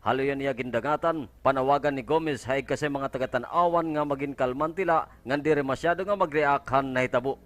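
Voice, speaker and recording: A man's voice: mid-range voice, brisk. A man from the reading scripted television news narration as a reporter.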